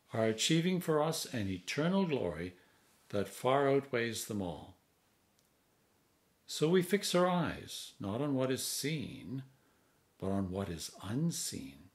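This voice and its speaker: A man in his sixties, a priest, reading scripture aloud in a measured, solemn manner with deliberate phrasing. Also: mid-range voice, a little mellow. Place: home study, indoors.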